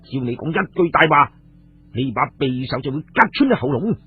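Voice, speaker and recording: Male storyteller as stern Cantonese fighter, threatening, raspy, swooping delivery, narrow radio-drama sound. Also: mid-range voice, big pitch swings, fairly quick, voice pushed down. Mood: cold threat. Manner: forceful, threatening. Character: stern young male warrior interrogator, menacing. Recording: tinny radio-like recording, music behind.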